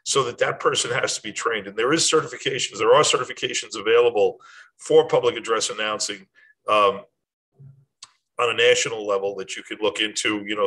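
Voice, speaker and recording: Older man, bright forceful voice. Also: on the low side, poor audio. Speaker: host of a short athletic administration advice series. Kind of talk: informal talk to camera, instructional.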